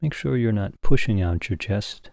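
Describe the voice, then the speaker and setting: A man leading a guided meditation as a teacher, speaking calmly and gently in short phrases with long pauses. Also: fairly low pitch, creaky, no echo, studio quality.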